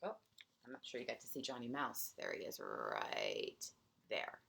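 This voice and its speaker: A woman's voice: a bass voice, wildly swooping pitch, measured pace, creaky, fairly bright tone, a little hiss or hum. A woman teacher reading a picture book aloud to young children, expressively, with asides and questions to her listeners.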